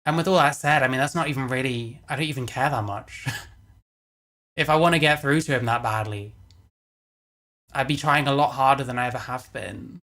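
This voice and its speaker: A man's voice: mid-range voice, fairly quick, bright, forceful tone. A man giving casual, matter-of-fact livestream commentary, blunt and prone to swearing. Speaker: stream co-commentator.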